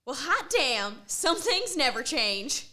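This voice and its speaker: A woman's voice: high-pitched, big pitch swings, takes it slowly, pushed and bright, exaggerated voice. A woman doing a folksy cowgirl student. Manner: folksy, exclaiming. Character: folksy young woman student with a cowboy manner.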